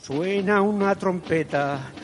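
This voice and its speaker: A man's voice: sits high, slight background noise. A man reciting poetry theatrically, in a dramatic manner, as a performer acting out the verses. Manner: dramatic, slow, imitates drums and trumpet with the voice. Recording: loud music behind the voice.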